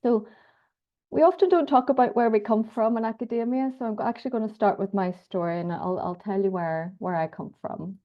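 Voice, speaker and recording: A woman's voice: fairly high pitch, a little fast, highs cut off. A middle-aged woman with a Northern Irish accent, giving an informal personal presentation as a guest academic speaker. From Northern Ireland.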